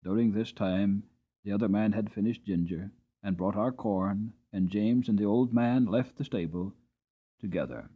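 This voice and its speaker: A man reading a classic novel aloud in a dramatic, expressive storybook style, in a slightly dull recording. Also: lowish voice, moderate pace, husky. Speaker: audiobook reader.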